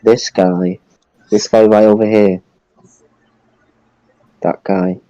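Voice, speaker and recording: A man's voice: on the low side, flat delivery, takes it slowly, warm tone, no echo. A man co-hosting a podcast in casual chat, on a dull, dry lo-fi microphone. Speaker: podcast co-host.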